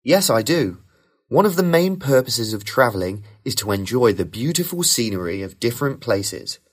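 A man reading rehearsed model answers for a speaking test in a smooth, even way. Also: medium pitch, moderate pace. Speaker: sample test candidate.

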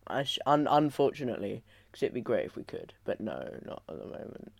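A man co-hosting a casual podcast, playfully reasoning out loud while guessing quiz answers. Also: medium pitch, very animated, a little fast, creaky. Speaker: podcast co-host. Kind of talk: casual chat.

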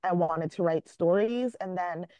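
A woman's voice: fairly low pitch, unhurried, warm tone, no echo. A young woman from Jamaica, an author talking candidly in a casual podcast interview, often laughing at herself. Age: young adult. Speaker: author guest.

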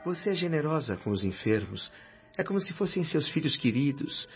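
An actor playing an earnest, eager, humble newcomer in a Brazilian radio drama, speaking formally and expressively. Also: male voice, fairly high pitch, big pitch swings, a little fast. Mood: admiring, curious. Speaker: lead character and narrator of a radio drama. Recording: dull lo-fi radio recording, music behind.

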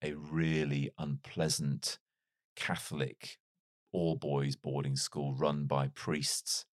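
Very deep-voiced reflective British man. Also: little pitch movement, takes it slowly, dry recording, studio quality. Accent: mild British English, not strongly Welsh. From North Wales. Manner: reflective, wry, self-deprecating. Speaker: podcast guest. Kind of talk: casual interview storytelling.

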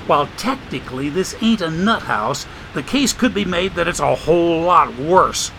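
A man voicing a folksy, wisecracking homeless man who drawls with rough grammar and dry sarcasm. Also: on the high side, lively intonation, normal speed, sharp tone, a little hiss or hum, full character voice, low-quality recording. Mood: grumbling.

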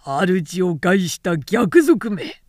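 A woman's voice: on the low side, big pitch swings, extremely slow, a little mellow, no echo, clean studio sound, heavily acted. A woman reading a storybook role as a scheming male military commander, commanding and condescending, with false sympathy. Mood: feigned outrage. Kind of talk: dramatic literary audiobook reading.

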